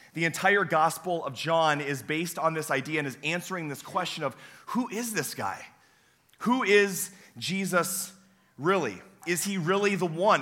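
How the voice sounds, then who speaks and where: A young man, a campus pastor preaching a sermon in a church with a hoarse, weakened voice, telling folksy, self-deprecating stories. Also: fairly high pitch, bright, forceful tone. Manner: folksy, self-deprecating storytelling with many uh fillers. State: hoarse, voice weaker than usual. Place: church sanctuary.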